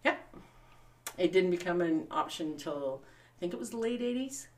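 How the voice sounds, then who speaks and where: A woman's voice: lowish voice, animated, normal speed, darkish tone. A woman in her fifties with a Canadian accent, chatting as an interview guest and often laughing as she tells stories. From born in Scotland, raised in Canada. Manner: chatty and upbeat, many fillers, laughs while telling stories. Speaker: guest, sewing shop owner. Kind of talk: casual interview chat. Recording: voice distant from the mic.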